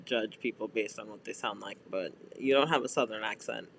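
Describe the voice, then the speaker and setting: A man chatting casually with friends, telling stories in an animated way with slang and swearing. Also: medium pitch, fairly quick, gravelly, a little hiss or hum, poor audio. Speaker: podcast co-host.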